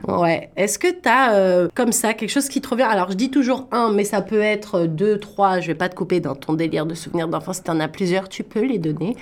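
A woman at a middle pitch hosts a relaxed interview. She asks friendly questions to draw out her guest's stories. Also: a little fast, hardly any pauses. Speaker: host. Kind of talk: casual conversational interview.

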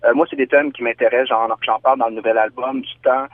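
A man from Quebec with a Québécois accent, a singer-songwriter guest giving thoughtful, measured answers in an interview. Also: medium pitch, level tone, dry recording. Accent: Québécois French. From Quebec, Canada. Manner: thoughtful, measured, explaining ideas at length. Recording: tinny dry phone line.

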